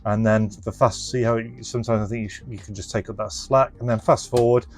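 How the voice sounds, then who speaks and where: A man's voice: lowish voice, fairly even intonation, brisk, faint music behind. A man casually presenting a hands-on product demonstration, explaining informally and thinking aloud as he goes. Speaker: presenter and reseller of restored retro electronics.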